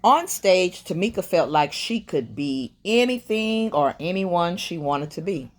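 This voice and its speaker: A woman reading a children's picture book aloud in an expressive way, with quiet murmured asides, as a bedtime storyteller. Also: low voice.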